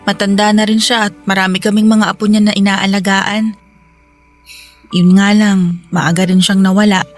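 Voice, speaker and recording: A woman's voice: medium pitch, flat delivery, normal speed, faint music behind, close to an everyday voice. A woman playing an affectionate, grateful young woman in a radio drama, speaking earnestly and tenderly. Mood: wistful. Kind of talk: Tagalog radio drama dialogue.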